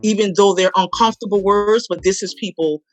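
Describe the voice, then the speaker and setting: A woman's voice: mid-range voice, steady tone, fairly quick, no room sound, soft background music. A woman from New Orleans talking as an interview guest, emphatic and prone to repeating her phrases. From New Orleans, United States. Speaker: guest, playwright and performer. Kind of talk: conversational interview.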